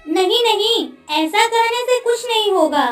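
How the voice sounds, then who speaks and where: A woman's voice: extremely high pitch, lively intonation, unhurried, reverberant, recorded from a distance, faint music behind. A woman voicing a tender, regal queen mother in a cartoon story, emotive and commanding. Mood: caring. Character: tender, regal queen mother, grieving for her lost daughter. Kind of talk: Hindi cartoon story dialogue.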